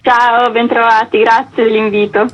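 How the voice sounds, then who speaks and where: Slightly husky woman being interviewed. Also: on the high side, flat delivery, moderate pace, muffled recording. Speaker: festival curator, interviewee. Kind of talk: radio interview, explaining a festival concept.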